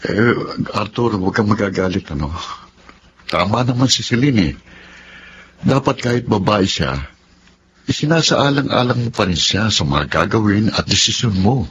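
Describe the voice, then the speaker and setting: A calm, principled man in a radio drama. His lowish voice is measured and conciliatory. The recording is slightly dull with faint noise. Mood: calm advice. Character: calm, reasonable, principled husband and friend. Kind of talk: Filipino radio drama acting.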